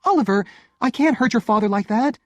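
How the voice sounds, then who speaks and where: A man's voice: very high voice, lively intonation, brisk, dry recording, voice pushed up. A man voicing a young wife in an audiobook story, hurt and exasperated, pleading with emphatic emotion. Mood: earnest reproach.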